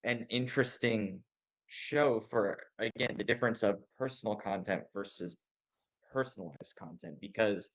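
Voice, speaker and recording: A man's voice: lowish voice, moderate pace, poor audio. A man hosting a conversational interview, reflecting appreciatively and summing up what he has heard. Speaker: interviewer, host.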